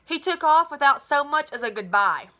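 A woman voicing a weary, gently apologetic mother of a teenager, soothing and resigned, in a novel read-aloud. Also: sits high, lively intonation, moderate pace.

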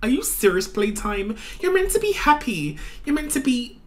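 A woman's voice: a little high, sing-song, normal speed. A woman voicing a gossipy schoolgirl sidekick who gushes, in a roleplay skit. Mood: gleeful.

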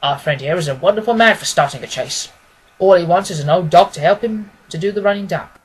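A man's voice: high-pitched, quick pace, slight background noise, natural voice, poor audio. A man voicing a self-important, blustering police agent in a storybook, lofty and pompous. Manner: pompous, consequential.

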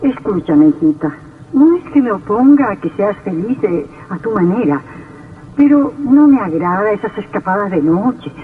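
A woman's voice: mid-range voice, sing-song, normal speed, husky, distant mic. An actress playing a worried, pleading widowed mother in an imploring old radio melodrama style. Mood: anxious, scolding. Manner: imploring, dramatic. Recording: muffled old radio drama recording, faint music.

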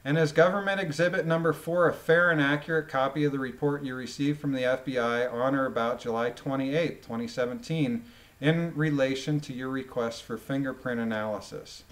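A man reading a document aloud and adding informal, emphatic commentary with halting phrasing. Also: mid-range voice, poor audio. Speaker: commentator, former police officer.

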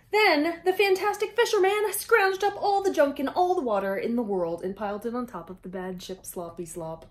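A woman in her thirties reading a picture book aloud to children with expressive, exclamatory delivery and dramatic emphasis. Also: medium pitch. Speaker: children's storyteller.

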